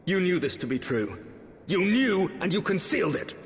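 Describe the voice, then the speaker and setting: An actor playing an earnest, noble knight commander in a fantasy game, with formal, impassioned, archaic delivery. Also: male voice, high-pitched, big pitch swings, normal speed, slight background noise. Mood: accusing, indignant. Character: earnest noble knight commander, wounded son confronting his father. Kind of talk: theatrical fantasy game voice acting. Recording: long reverb, music underneath.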